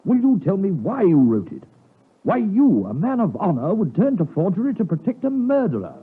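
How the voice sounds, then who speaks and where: An actor playing a shrewd, direct gentleman in a 1950s radio drama, speaking articulately and with emphasis. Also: sits high, wildly swooping pitch, moderate pace, soft background music. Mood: accusing, insistent. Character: probing gentleman friend of the doctor, shrewd and direct. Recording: muffled 1950s radio broadcast.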